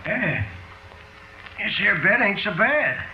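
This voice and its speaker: An actor playing a sly, chuckling, quietly menacing fugitive crook in a 1940s radio drama, with ungrammatical American street slang. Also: male voice, sits high, sing-song, slow, heavily acted. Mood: relaxed, wheedling. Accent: American, urban street slang. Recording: tinny, band-limited 1940s radio recording.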